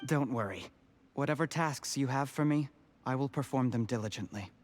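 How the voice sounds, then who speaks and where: A man's voice: mid-range voice, moderate pace, a little hiss or hum, close to an everyday voice. An actor playing a timid, obliging male conspirator in a video game, deferential and hesitant. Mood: eager to please.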